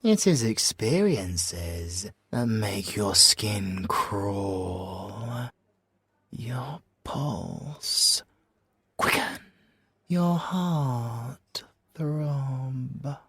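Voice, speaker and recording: Very slow, breathy British man, seductive villain. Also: mid-range voice, expressive, a bit bright, no room sound. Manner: teasing, drawn-out, theatrical delivery. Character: sly, seductive, possessive male villain toying with an officer. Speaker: audio roleplay voice actor. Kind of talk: theatrical ASMR character roleplay.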